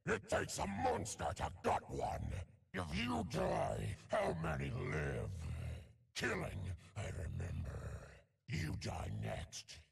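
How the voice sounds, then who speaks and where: A man voicing a savage, tormented werewolf monster from a video game in a rasping growl, with terse, menacing snarled phrases. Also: fairly high pitch, exaggerated voice. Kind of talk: video game champion voice lines.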